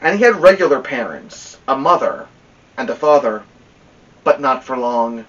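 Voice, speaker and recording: Man reading a story, swooping expressive pitch. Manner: dramatic pauses, drawn-out emphasis. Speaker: story-time host reading a children's novel aloud. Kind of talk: expressive read-aloud storytelling. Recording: dull lo-fi sound, distant from mic.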